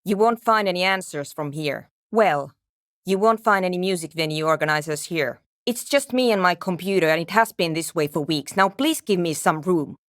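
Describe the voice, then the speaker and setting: Woman voicing game programmer: female, lowish pitch, brusque and world-weary, dry studio sound. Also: normal speed, dry recording, natural voice. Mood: curt, dismissive. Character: curt, skeptical, world-weary woman programmer absorbed in research. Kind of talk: video game character dialogue.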